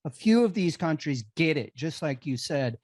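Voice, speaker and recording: A man's voice: fairly high pitch, animated, moderate pace, a little mellow, no echo, studio quality. A man hosting a podcast interview, talking earnestly and emphatically in a casual conversational way. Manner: earnest, emphatic, builds to indignation. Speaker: podcast host.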